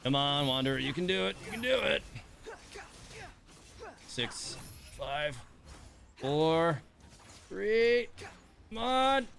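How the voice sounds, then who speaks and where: A man's voice: on the high side, expressive, takes it slowly, vocal fry, long pauses, fairly bright tone. Man casually muttering through live gameplay. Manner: mutters complaints and self-coaching at the game. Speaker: game livestreamer. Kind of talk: casual live gameplay commentary, thinking aloud.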